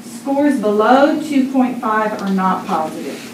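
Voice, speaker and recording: Older woman, loud voice, formal report, lots of uh and um, distant mic, roomy echo, background noise. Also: mid-range voice, lively intonation, a little slow. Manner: loud voice, hesitant with fillers. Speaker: presenter of a survey report to a school board. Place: boardroom meeting. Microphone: room mic from a distance. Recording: echoey room, distant, noisy.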